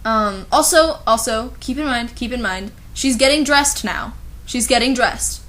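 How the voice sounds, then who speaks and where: A woman's voice: a little high, a little slow, fairly bright tone. A woman doing a comedic dramatic reading with sarcastic, exasperated asides. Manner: mocking, exasperated. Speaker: host of a comedic fan fiction commentary.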